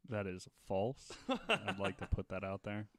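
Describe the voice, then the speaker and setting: A man's voice: on the low side, unhurried, dry recording. A man chatting casually as a podcast guest, with wry, teasing remarks. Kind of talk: casual chat.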